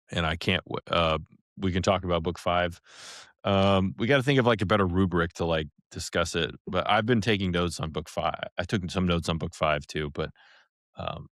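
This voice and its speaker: Deep-voiced man chatting casually. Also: a bit bright, dry recording, clean studio sound. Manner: informal, slangy, emphatic hyperbole. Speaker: podcast co-host. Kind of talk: casual podcast chat.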